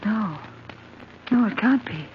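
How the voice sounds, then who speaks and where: An actress playing a timid, sweet old invalid aunt in a radio drama, hesitant, plaintive and gentle. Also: female voice, mid-range voice, lively intonation, slow. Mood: distressed. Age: older. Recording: muffled, noisy old radio broadcast.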